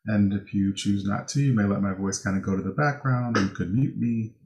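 An American man with a fairly low voice teaches a guided meditation. He gives gentle instructions without hurry, leaving pauses between phrases. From Chicago, United States.

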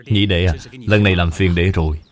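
An actor playing an affable, polite elder brother, a merchant in an animated tale of ancient China. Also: male voice, low voice, brisk, natural voice. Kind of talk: animated film dubbing.

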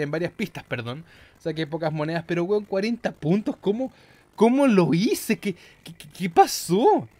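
A man's voice: fairly high pitch, a little mellow. A man giving casual, slangy, mock-boastful gaming commentary with some swearing. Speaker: gameplay host.

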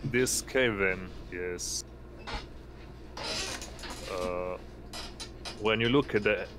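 Slow, halting low-voiced man. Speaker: game master. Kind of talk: tabletop game narration. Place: indoor online voice session. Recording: noisy home recording, constant background hum.

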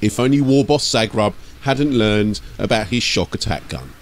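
A man with a lowish voice delivers scripted narration in the role of an audiobook storyteller. His reading is that of a channel host presenting a tale. There is slight noise behind his voice. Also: animated, normal speed.

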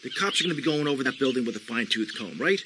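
An actor playing a tough, commanding, short-tempered gangster boss in a video game, speaking in a curt, bossy way. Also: male voice, mid-range voice, animated, very fast, sharp tone, slight background noise. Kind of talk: video game cutscene acting.

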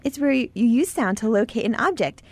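A confident young girl, played by a woman in an amateur radio drama, coaxing and encouraging. The voice sits fairly high and sounds sure of itself. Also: lively intonation, brisk, a little mellow. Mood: bright, excited. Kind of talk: amateur radio play acting.

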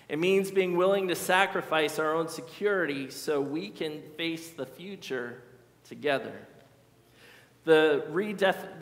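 A middle-aged man preaching a sermon from a lectern in a large church sanctuary, speaking in an earnest, measured way with slow emphatic repetitions. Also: on the high side, fairly bright tone. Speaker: pastor. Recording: echoey church hall.

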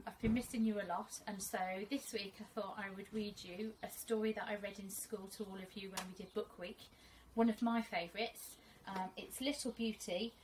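A middle-aged woman reads a children's story aloud like a teacher, expressive and deliberate, outdoors. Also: mid-range voice, low-quality recording. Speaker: first school teacher reading to pupils. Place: small fenced garden, outdoors. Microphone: camera a few metres away. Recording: outdoor camera audio, faint birdsong and noise.

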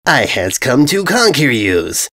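A man's voice: mid-range voice, very animated, slow pace, sharp tone, exaggerated voice. A man voicing a whiny, pompous, dim-witted armored invader in a story reading, boasting dramatically in broken grammar. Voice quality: whining.

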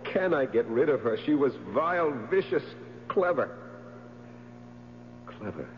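An actor playing a grief-stricken, obsessive man in a 1970s radio drama, speaking in an overwrought, halting way and repeating his words. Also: medium pitch, expressive, natural voice. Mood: frantic, obsessive. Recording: muffled vintage radio broadcast with hum.

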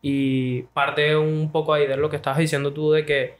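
Relaxed Venezuelan man, slightly high. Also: normal speed. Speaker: guest musician. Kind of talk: casual interview chat.

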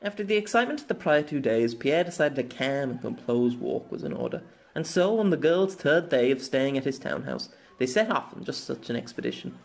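Man narrating with very lively intonation. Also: medium pitch, brisk, vocal fry. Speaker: narrator of own web novel. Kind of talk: scripted story narration.

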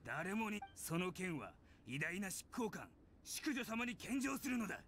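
A man's voice: sits high, big pitch swings, measured pace, a bit bright, a little hiss or hum, full character voice. A man voicing an arrogant, threatening enemy soldier in an anime game. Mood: menacing. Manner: pompous, threatening. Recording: game music and battle sounds behind.

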